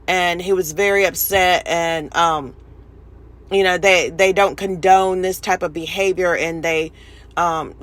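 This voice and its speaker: A woman with a fairly low voice delivers informal sports commentary as a host. She is emphatic and opinionated, speaking her own views in a conversational way.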